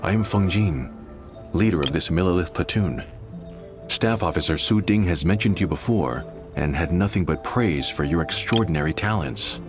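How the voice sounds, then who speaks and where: A man's voice: deep voice, animated, moderate pace, music in the background. A man playing a dutiful soldier in a video game, a platoon leader who speaks with formal military courtesy. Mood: formal, respectful.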